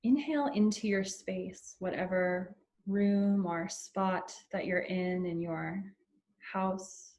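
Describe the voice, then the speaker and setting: A woman leading a guided meditation in a soothing, unhurried way with long pauses between phrases. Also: mid-range voice, a little mellow.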